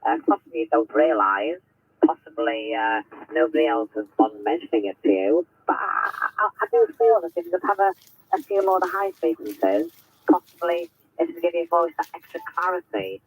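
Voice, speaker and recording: A man's voice: fairly high pitch, huge pitch swings, brisk, vocal fry, husky, no echo, poor audio. A man in his fifties, an amateur radio operator chatting on air, hesitant with many restarts. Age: 50s. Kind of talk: ham radio contact chat.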